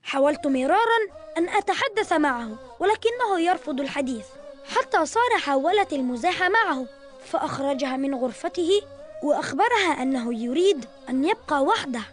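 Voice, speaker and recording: A woman's voice: high voice, lively intonation, fairly quick, faint music behind. A woman voicing a caring, dependable boy in a children's cartoon, earnest and considerate in formal Arabic. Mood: concerned.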